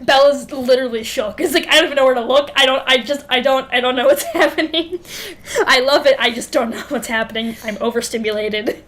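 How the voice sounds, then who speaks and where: A young woman with a fairly high voice chats loosely as a podcast co-host. She is sardonic and slangy, slipping into mock quotes and laughing through her words. A faint layer of background noise is audible. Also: a little fast, a bit bright. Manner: laughs while talking. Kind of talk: casual chatty book discussion.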